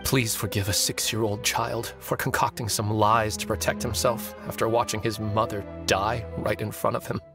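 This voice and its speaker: A man playing a composed, polite novelist and killer, speaking articulately and deliberately in an anime video game. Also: lowish voice, flat delivery, music in the background, natural voice. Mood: quietly bitter.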